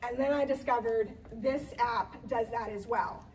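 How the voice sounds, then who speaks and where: A woman event planner, slightly hoarse, giving a chatty live talk in a conference room with many ums and restarts. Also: fairly high pitch, unhurried, a little mellow, far from the mic, slight background noise, rough recording. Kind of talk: live presentation to an audience.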